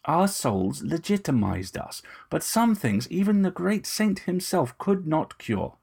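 A man's voice: on the high side, big pitch swings, unhurried, gravelly, darkish tone, breathy edge, pitched above the performer's own voice, exaggerated voice. A man voicing a corpulent, genteel vampire in an audio story, unctuous, formal and menacing. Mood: grandiose, menacing. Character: corpulent, genteel, predatory male vampire with ragged breath.

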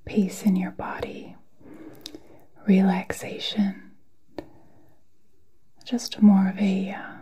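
A woman whispering a guided meditation in a soft, breathy, soothing way, with long pauses, as an ASMR host. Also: medium pitch, level tone. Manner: slow, soothing, halting delivery with long pauses.

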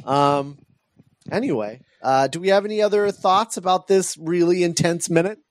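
Male podcast host, slightly higher register, earnest and emphatic in casual film chat, dry recording. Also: normal speed, no echo.

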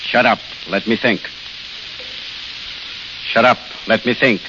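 An actor in a vintage radio drama playing a tough gangster boss on the run, curt and commanding in clipped phrases. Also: male voice, lowish voice, fairly even intonation, slow, pushed and bright. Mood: brooding. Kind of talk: 1930s-40s radio gangster acting. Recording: very noisy, muffled old radio recording.

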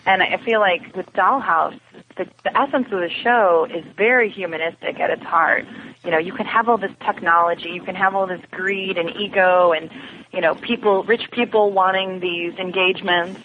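A woman's voice: lowish voice, normal speed. A woman speaking as a podcast guest, earnest and reflective as she discusses science fiction television. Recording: lo-fi, noisy phone or internet call.

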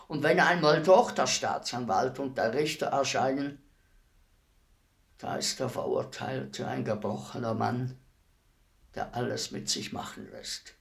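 Slow, gravelly, world-weary executioner. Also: male voice, medium pitch. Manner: deliberate, brooding, formally addressing a lady. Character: world-weary executioner, wistful, respectful, philosophical about dying. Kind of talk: radio play acting, slow reflective monologue.

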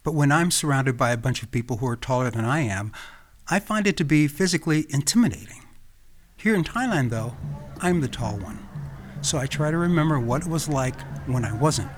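An American man from Los Angeles, hosting his own podcast with calm, reflective scripted narration. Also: medium pitch, fairly quick, a little hiss or hum. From Los Angeles, California. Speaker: podcast host.

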